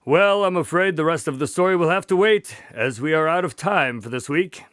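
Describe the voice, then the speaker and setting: A man playing an earnest, polite doctor and detective's partner in a radio drama, measured in manner. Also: a little high, expressive, fairly bright tone, clear, resonant tone, dry recording, clean studio sound. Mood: recounting.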